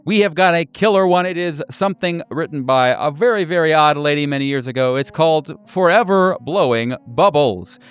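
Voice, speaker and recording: A man's voice: fairly high pitch, a little fast, hardly any pauses, clean tone, no room sound, voice pushed up, lightly acted. A man voicing an upbeat, showy radio announcer, chatting between songs in DJ patter.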